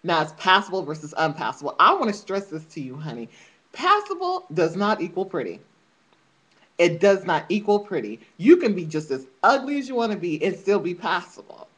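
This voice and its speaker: Sassy, emphatic woman with a lively voice. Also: lowish voice, brisk, slightly rough. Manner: sassy, emphatic, calls listeners honey. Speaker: vlogger. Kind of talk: casual vlog rant.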